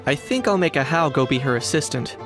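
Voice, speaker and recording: A woman voicing a cool, unflappable young hunter in a video game, deadpan and laconic, with music behind. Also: low voice, a little fast. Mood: dry.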